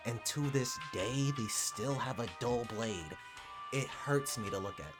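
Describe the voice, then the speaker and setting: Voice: medium pitch, a little slow. A gaming video host giving casual, slangy, sarcastic and mock-exasperated reaction commentary over gameplay. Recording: game music behind the voice. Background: game music.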